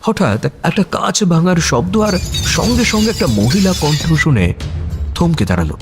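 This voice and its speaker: Animated male suspense storyteller. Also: a little high. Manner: suspenseful, with dramatic pauses. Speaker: story narrator. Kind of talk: dramatic scripted suspense narration. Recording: music behind the voice.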